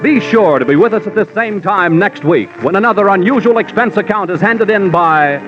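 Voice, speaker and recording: A male American announcer in vintage radio style, scripted and promotional. His voice is high for a man and very lively, on a dulled recording with music behind. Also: fairly quick, lo-fi sound.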